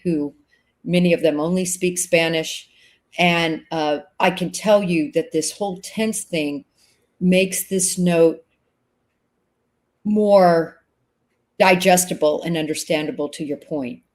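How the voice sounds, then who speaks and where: A woman hosting an interview, responding to her guest in a deliberate way, slowing down to stress key words. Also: low-pitched, darkish tone. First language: English. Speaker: host. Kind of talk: conversational interview.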